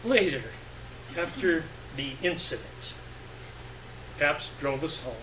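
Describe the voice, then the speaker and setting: A man giving an expressive, deliberate dramatic reading of literary fiction on stage. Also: fairly high pitch. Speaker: story reader. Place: live stage reading event. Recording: echoey room, distant mic, faint noise.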